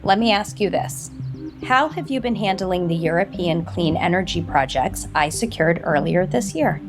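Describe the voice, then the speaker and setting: Firm, reproachful big sister. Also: female voice, on the low side, expressive, normal speed, music in the background. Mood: stern. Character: stern, critical older sister and company executive. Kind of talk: audio soap opera acting.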